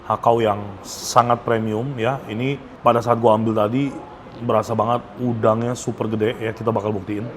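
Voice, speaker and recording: A man's voice: fairly low pitch, flat delivery, normal speed. A man gives a casual, enthusiastic food review to camera, often stretching words for emphasis. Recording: noticeable background ambience noise.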